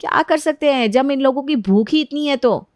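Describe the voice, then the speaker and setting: A woman voicing a weary, practical elder sister-in-law in an animated family story, wry and complaining. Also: high voice, normal speed, no room sound, clean studio sound, pitched above the performer's own voice, close to an everyday voice. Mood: defensive, sarcastic.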